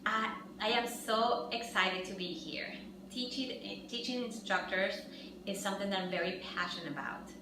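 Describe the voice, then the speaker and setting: A woman's voice: medium pitch, normal speed. A middle-aged woman from Ecuador, leading a fitness instructor training workshop in an upbeat, encouraging way and speaking directly to the group. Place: room with trainees. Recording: echoey room, mic far off, noisy.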